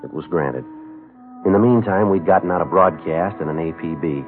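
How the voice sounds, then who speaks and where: An actor playing a stoic, businesslike police sergeant in a 1950s radio drama, speaking in a terse, clipped, matter-of-fact way. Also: male voice, deep voice, normal speed, close to an everyday voice. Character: stoic, businesslike male police sergeant narrating his case. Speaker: narrator and lead detective. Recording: muffled old radio broadcast, music.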